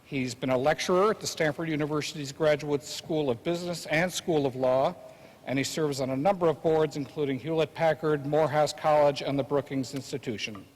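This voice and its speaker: A man representing a sponsor, reading a moderator's introduction with halting delivery and many fillers. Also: on the high side. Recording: long hall reverb.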